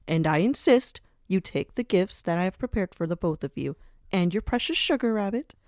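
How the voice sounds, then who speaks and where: A woman playing a commanding, cultured mafia boss in a scripted audio drama, poised and grandly formal, with sly warmth. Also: mid-range voice, animated, moderate pace, no room sound, studio quality, close to an everyday voice. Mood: pleased, sly. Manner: poised, grandly formal, uses endearments like dearie. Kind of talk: scripted audio roleplay acting.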